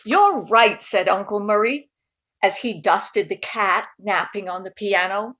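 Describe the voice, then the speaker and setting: A woman reading a children's picture book aloud in an expressive storytelling manner, with informal asides. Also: mid-range voice, unhurried. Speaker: storybook reader.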